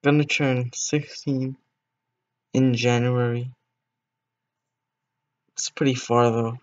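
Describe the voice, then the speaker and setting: A man's voice: medium pitch, little pitch movement, takes it slowly, muffled recording. A teenage boy streaming a game, chatting casually with viewers in a rambling way, voice cracking now and then. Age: teens. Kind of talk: casual gameplay stream chat.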